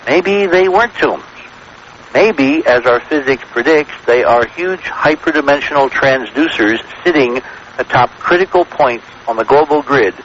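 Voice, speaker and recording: A man's voice: medium pitch, a bit bright. An American man as a radio guest, explaining his theories in an assertive, lecturing way and checking that his points land. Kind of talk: radio interview, explanatory talk. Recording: noisy, dull vintage radio broadcast.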